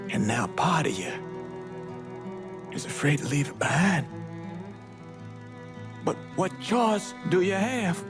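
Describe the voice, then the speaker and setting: A man playing a weary, regretful old American priest and war veteran, giving solemn, measured testimony in a documentary-style game scene. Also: high-pitched, very animated, over music, heavily acted. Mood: grave, haunted. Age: older. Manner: measured, solemn, deliberate storytelling with pauses. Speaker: priest recounting past events in a documentary interview.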